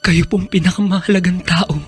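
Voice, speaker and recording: A man's voice: sits high, little pitch movement, fairly quick, breathy edge, soft background music. A man playing a stubborn, devoted, emotional young son in a Filipino radio drama, with a Filipino accent. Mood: tender, earnest.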